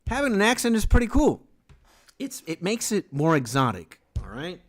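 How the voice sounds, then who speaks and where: Lively Venezuelan streamer man rambling. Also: high-pitched, normal speed. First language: Spanish. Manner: lively, rambling, reads chat aloud. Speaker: music producer streamer. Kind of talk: casual live stream chat.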